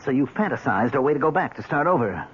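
An actor playing a blunt, exasperated police inspector in a 1970s radio drama, insistently reasoning with an old friend. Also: male voice, mid-range voice, expressive, fast, close to an everyday voice. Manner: insistent, emphatic, reasoning aloud. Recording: muffled noisy vintage radio broadcast.

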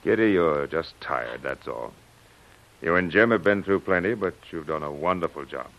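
Low male voice, fatherly army colonel, soothing and gallant, vintage radio drama, muffled old recording. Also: lively intonation, normal speed, vocal fry. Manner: avuncular, soothing. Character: reassuring older military colonel, fatherly and gallant.

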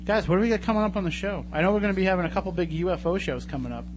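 A man's voice: sits high, rapid-fire. A man hosting a casual live radio talk show, bantering and joking as he goes. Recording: noisy live radio broadcast with hum. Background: clear noise and hum.

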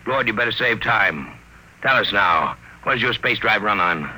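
An actor playing a menacing, businesslike racketeer who talks curtly and dryly in an old-time radio drama. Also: male voice, fairly low pitch, lively intonation, moderate pace, bright, forceful tone, full character voice, poor audio. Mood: stern, threatening. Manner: curt, hard, dry. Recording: hissy vintage radio recording.

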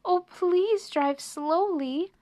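Little female country mouse, very high squeaky voice, timid, polite, fluttery, storybook style. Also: animated, a little slow, darkish tone, dry recording, studio quality. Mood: frightened. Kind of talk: children's storybook character voice.

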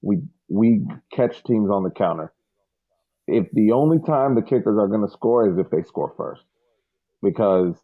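A man co-hosting a fan podcast, giving blunt, analytical takes. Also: lowish voice. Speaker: podcast co-host. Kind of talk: casual podcast chat. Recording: tinny phone-like line.

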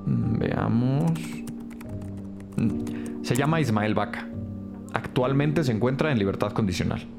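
Pensive crime-lab man, mid-pitched, explanatory, audio drama with heavy music underneath. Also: animated, unhurried, creaky. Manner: thinking aloud, explanatory. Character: pensive, methodical male police lab analyst. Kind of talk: scripted audio drama acting. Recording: loud music bed behind voice.

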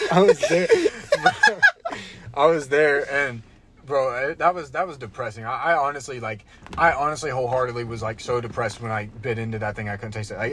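A young man of middling pitch rambles through a story in a casual podcast chat, doubling back on himself and throwing in "bro" and "man." A faint hiss sits under his voice. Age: young adult. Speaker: podcast co-host.

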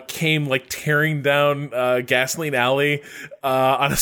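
A man co-hosting a motorsport podcast, telling stories casually and excitedly, acting out what people said. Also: fairly high pitch.

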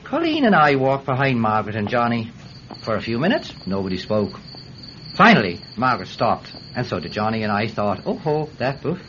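An actor playing an eager Irish peat seller testifying in court in a classic radio drama, hesitant, stammering and forever digressing. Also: male voice, mid-range voice, big pitch swings, a little fast, fairly bright tone. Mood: storytelling. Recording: muffled, hissy vintage radio recording.

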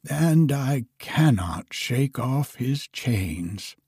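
Storybook old woman, frail, cautious and kindly, higher and breathy, as a man plays her; slow. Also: expressive, darkish tone, dry recording, studio quality, heavily acted. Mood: gentle. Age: older.

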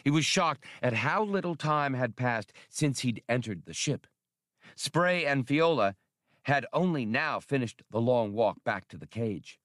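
A man's voice: mid-range voice, lively intonation, a little slow, fairly bright tone, no room sound, clean studio sound. A man reading an adventure novel as a dramatic scripted audiobook narration.

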